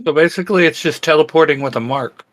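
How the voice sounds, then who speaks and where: A man's voice: fairly high pitch, quick pace, a bit bright. A man co-hosting a casual chat, with a dry, teasing way of talking. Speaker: co-host. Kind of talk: casual banter.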